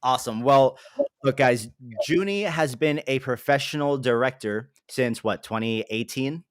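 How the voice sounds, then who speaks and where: A man's voice: mid-range voice, measured pace, dry recording, studio quality. Upbeat man hosting a livestream. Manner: upbeat, greets chat, filler-heavy. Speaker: livestream host. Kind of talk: casual livestream chat.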